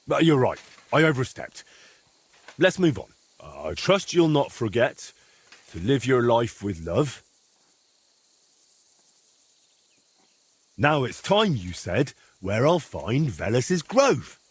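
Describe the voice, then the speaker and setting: An actor playing an earnest young adventurer in a video game, polite but easily exasperated. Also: male voice, mid-range voice, very animated, slow, husky, full character voice. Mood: sheepish, earnest. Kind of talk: video game dialogue acting.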